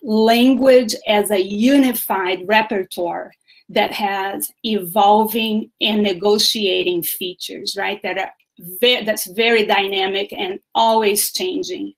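A woman's voice: mid-range voice, fairly even intonation, takes it slowly, rough recording. A middle-aged woman from Brazil, a native Portuguese speaker with a Brazilian accent, gives an online university lecture over a video call from a room at home. She explains at length, with many fillers, and keeps checking in with "right?". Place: home room with bookshelves, indoors. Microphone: video call webcam.

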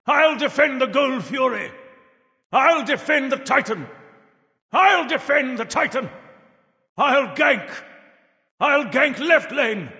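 A man's voice: very high voice, expressive, takes it slowly, long pauses, bright, forceful tone, exaggerated voice. An actor playing a jovial, grandfatherly old Father Time in a video game, theatrical, emphatic and folksy. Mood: urgent, commanding. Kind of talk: video game character voice lines. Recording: long reverberant echo.

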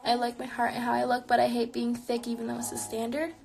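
A woman's voice: on the high side, monotone, fast, creaky, very noisy. Chatty young woman live-streaming from her bedroom. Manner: chatty, frequent "like" fillers, reflective. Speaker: streamer answering viewer questions. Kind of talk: casual live-stream chat. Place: small bedroom with neon lights, indoors. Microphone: phone camera close to face.

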